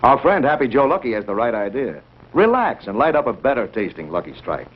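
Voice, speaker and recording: A man delivers a 1950s scripted commercial as an announcer. His voice is husky and swings in pitch as he stresses the sales points. The recording is dull and dated. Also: big pitch swings, fairly quick. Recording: dull 1950s broadcast sound.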